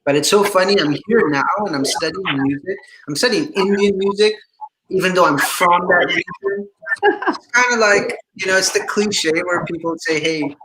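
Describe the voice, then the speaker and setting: A young man from Pakistan, a musician, talking about himself in a relaxed, chatty way with easy laughs during a casual interview. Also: sits high, moderate pace, a little hiss or hum, rough recording. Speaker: musician guest.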